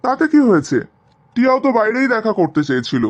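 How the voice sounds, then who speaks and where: A man's voice: high-pitched, very animated, fast talker, muffled recording. A man playing a sarcastic, exasperated middle-aged husband and father in a cartoon drama, grumbling and arguing. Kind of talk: Bengali cartoon family drama acting.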